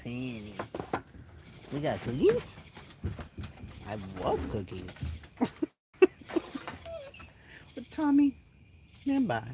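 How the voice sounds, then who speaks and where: A woman with a Boston accent hosting a casual live chat at home, blunt and quick to swear, laughing often as she reacts to comments. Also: very deep voice, very noisy. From Boston area, USA. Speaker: channel host.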